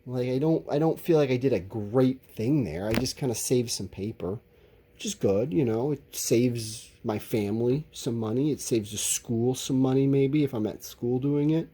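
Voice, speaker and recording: A man's voice: mid-range voice, normal speed, mellow, a little hiss or hum. A man teaching a recorded lesson, talking informally to his students and explaining things in a candid, conversational way.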